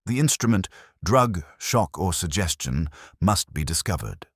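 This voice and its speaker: A man voicing an imperious armchair detective in an audiobook, terse, pompous and deliberate. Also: deep voice, big pitch swings, a bit bright, husky, dry recording, studio quality, exaggerated voice. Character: imperious, brilliant, grumbling male armchair detective. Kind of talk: audiobook character dialogue.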